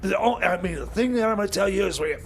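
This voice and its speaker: A man playing an excitable, scatterbrained time machine mechanic in radio comedy, pleading in stammers and repeats with a strained voice. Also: high voice, fast talker, creaky. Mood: eager, pleading.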